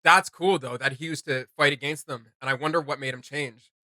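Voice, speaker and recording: Excitable man with a bright, higher voice. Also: fairly quick, dry recording. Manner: excitable, slangy, rambling. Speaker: reaction video host. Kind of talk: casual reaction chat.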